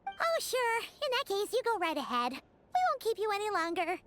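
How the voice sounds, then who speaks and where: A woman voicing a small, excitable, childlike fairy companion in a video game, with a squeaky, expressive voice. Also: moderate pace, over music, full character voice. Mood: sympathetic, friendly. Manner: refers to herself in the third person, expressive. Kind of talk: video game character dialogue.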